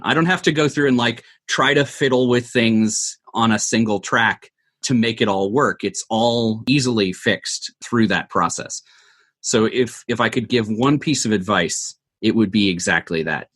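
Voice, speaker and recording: Low-voiced man giving earnest advice. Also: fairly bright tone, no echo. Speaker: podcast producer, guest. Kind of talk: conversational interview answer, giving advice.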